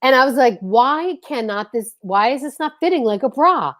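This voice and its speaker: A woman's voice: sits high, animated, moderate pace. An American woman hosting a casual chat, talking in an effusive, emphatic way. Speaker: host.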